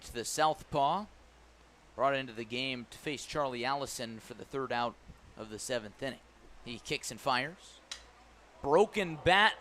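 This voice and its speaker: A man calling a baseball game live on the radio as the play-by-play announcer, animated on big plays and playful with his phrasing. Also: fairly high pitch, a little hiss or hum. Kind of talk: live radio sports commentary. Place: live at a ballpark broadcast position.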